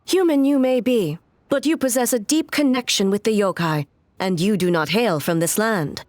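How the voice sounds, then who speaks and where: A woman voicing a calm, authoritative and stern ruler in a video game, speaking in a formal, measured, composed way. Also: medium pitch, dry recording, lightly acted. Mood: solemn, formal.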